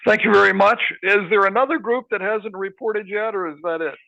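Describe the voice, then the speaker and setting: A man's voice: high voice, a little fast, vocal fry, pushed and bright, rough recording. A man moderating an online workshop, encouraging and upbeat with the speakers.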